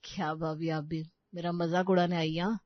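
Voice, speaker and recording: A woman's voice: lowish voice, flat delivery, normal speed, no room sound, highs cut off, pitched below the performer's own voice, lightly acted. A woman voicing a hapless, defensive young college man in a cartoon family story, earnest and exasperated. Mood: dejected.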